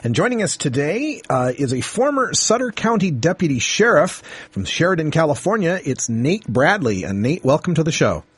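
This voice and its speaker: A man's voice: a little high, sing-song, moderate pace, slightly rough, no echo. A man hosting a radio talk show, welcoming a guest and asking questions in a friendly way. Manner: friendly, leading questions with filler words. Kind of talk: radio interview.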